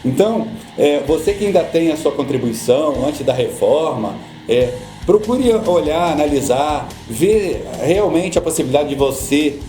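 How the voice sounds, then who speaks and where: A middle-aged Brazilian man, a guest on a podcast, who explains pension rules patiently and often repeats his points to calm the listener. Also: fairly high pitch, normal speed, hardly any pauses, reverberant, faint music behind. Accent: Brazilian Portuguese. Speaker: social security law guest.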